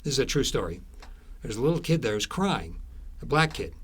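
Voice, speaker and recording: A man speaking as an interviewed author, telling stories conversationally in a halting way, repeating words and trailing into asides. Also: mid-range voice, very animated, fairly bright tone, breathy edge.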